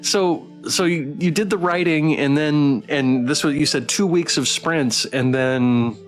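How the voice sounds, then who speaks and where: A man hosting a casual developer Q&A stream, effusive and halting as he repeats words and reads out live chat. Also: on the high side, faint music behind, low-quality recording. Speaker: host of a visual novel playthrough stream.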